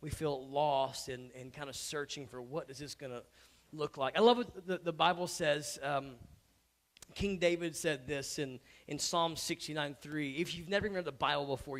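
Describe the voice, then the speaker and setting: A man in his thirties, a hoarse pastor, preaching conversationally on a church stage with many halting restarts that build to emphatic points. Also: on the high side, fairly bright tone. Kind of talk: sermon. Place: church stage before an audience.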